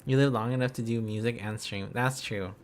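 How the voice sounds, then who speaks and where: A man's voice: medium pitch, fairly even intonation, fairly quick. A man livestreaming in a casual, playful way, thinking aloud as he chats to viewers. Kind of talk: casual livestream study chat.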